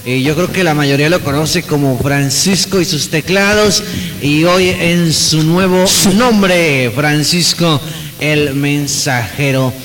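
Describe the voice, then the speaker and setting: High-voiced upbeat radio announcer. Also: male voice, slow, a bit bright. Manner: upbeat, announcing greetings and events. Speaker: radio host. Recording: reverberant, faint music behind.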